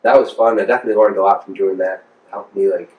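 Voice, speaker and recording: Fast-talking American man, roomy echo. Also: low-pitched, steady tone, a little mellow, distant mic. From Rhode Island, United States. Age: 30s. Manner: informal, slangy, rambling. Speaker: music producer being interviewed. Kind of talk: casual interview chat. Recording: roomy, echoing, off the mic.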